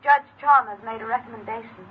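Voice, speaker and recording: A woman's voice: on the high side, fairly even intonation, fairly quick, lightly acted. An actress playing an anxious, emotionally distant middle-aged mother with a clipped, formal manner in an old radio crime drama. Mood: worried, doubting. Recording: muffled, noisy old radio recording.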